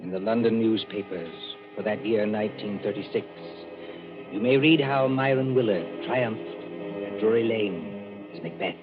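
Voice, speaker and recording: A man narrating a radio drama in a measured, ominous way. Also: lowish voice. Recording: dull lo-fi radio recording with music.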